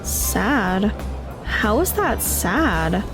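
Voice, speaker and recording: A woman playing an earnest, literal-minded high school girl in an audio drama. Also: very animated, very slow, music in the background, exaggerated voice. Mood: matter-of-fact.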